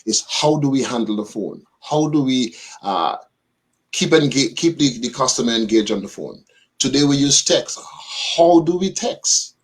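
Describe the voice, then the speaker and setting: A man on a radio panel lays out his points one by one in a measured way. His pitch moves lively up and down as he talks. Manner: measured and methodical, laying out points in order. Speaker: guest. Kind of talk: panel discussion on a live radio show.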